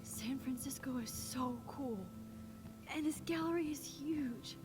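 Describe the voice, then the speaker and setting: An actress playing a shy, earnest teenage student in a video game, speaking softly and introspectively, often close to a whisper. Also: female voice, sits high, unhurried, over loud music. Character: shy, earnest teenage girl photography student, self-doubting.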